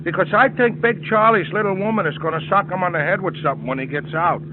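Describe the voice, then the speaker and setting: A man's voice: high voice, quick pace, close to an everyday voice. An American man playing a chatty working-class cab driver in an old radio drama, repeating himself and mangling his grammar. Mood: eager, chatty. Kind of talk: 1940s American radio acting. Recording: noisy, tinny old radio recording.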